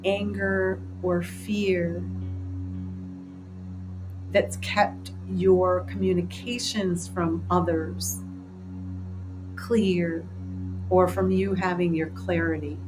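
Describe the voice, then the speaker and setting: A woman's voice: fairly low pitch, flat delivery, drawn-out words, long pauses, rough recording. Woman leading guided meditation over music. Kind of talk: guided meditation, speaking to a group. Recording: clear background music under the voice.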